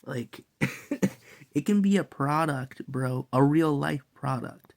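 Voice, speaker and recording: A man's voice: a little high, slow pace, creaky. A young man who is sick and coughs now and then, talking in a loose, rambling, slangy vlog monologue full of fillers. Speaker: vlogger.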